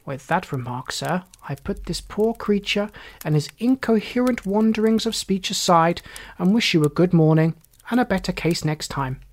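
A narrator acts out a smooth gentleman villain in an audiobook story. He is formal and emphatic, keeping a composed front over cold indignation. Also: mid-range voice, lively intonation, normal speed, voice pushed up. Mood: defiant, composed.

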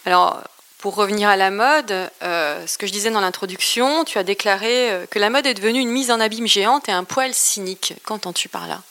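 A woman's voice: medium pitch, a little fast, fairly bright tone. A woman interviewer with a mid-range voice. She asks questions and prompts her guest in an engaged, conversational way, and she sometimes restates the guest's points back to her.

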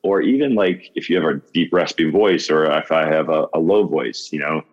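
Very deep-voiced man in his fifties. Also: moderate pace, dull audio. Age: 50s. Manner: earnest, with frequent laughs and restarts. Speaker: podcast guest. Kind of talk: casual conversation.

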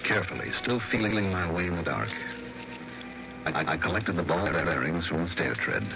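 An actor playing a sober, sympathetic investigator, narrating a 1960s radio detective drama in a measured, deliberate way. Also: male voice, low voice, expressive, vocal fry, over music. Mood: tense, careful. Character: sober, sympathetic male investigator recounting his case. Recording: dull old radio broadcast recording.

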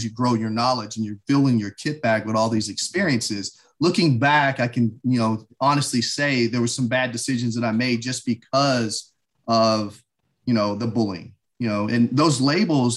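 A man's voice: mid-range voice, fairly bright tone. A man in his forties from the United States, talking reflectively as an interview guest, with many "you know" fillers. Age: 40s. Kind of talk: casual interview conversation.

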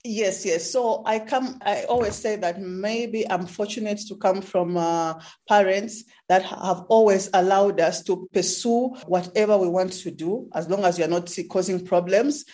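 A woman's voice: medium pitch, a little slow, few pauses, warm tone. A woman in her thirties with a Zambian English accent, answering interview questions in a conversational way. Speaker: interview guest, astrophysics researcher.

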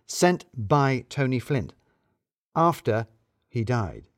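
A man's voice: mid-range voice, sing-song, slow, no echo, studio quality. A man voicing a fretful, obsessive office worker turned amateur detective, explaining things emphatically in an audio story.

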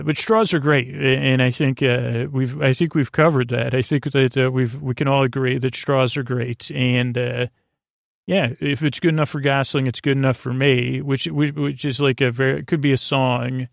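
A man hosting a sleep podcast with a goofy, rambling bedtime monologue, haltingly trailing off and doubling back. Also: mid-range voice, gravelly, no room sound, clean studio sound. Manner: whimsical, goofy.

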